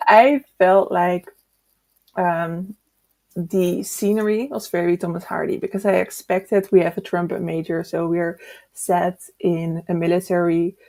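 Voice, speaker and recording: Mellow, slightly low-voiced woman chatting casually. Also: fairly even intonation, a little slow. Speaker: book discussion co-host. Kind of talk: casual book chat.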